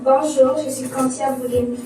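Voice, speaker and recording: An eighteen-year-old young woman explaining a point of faith in an even, didactic way. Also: high voice, moderate pace, over music. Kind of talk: recorded youth testimony. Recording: echoey room, from far off.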